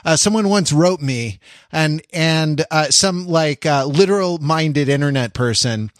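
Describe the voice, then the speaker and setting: A man co-hosting a podcast in casual chat, talking in a halting, self-interrupting, jokey way. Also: on the high side, no echo, clean studio sound. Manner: jokey, teasing. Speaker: podcast co-host.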